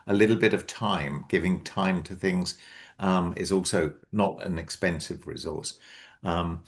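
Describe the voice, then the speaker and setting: A man hosting an online book launch on a video call, speaking hesitantly with many ums and restarts. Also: low-pitched. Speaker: book co-author and event host.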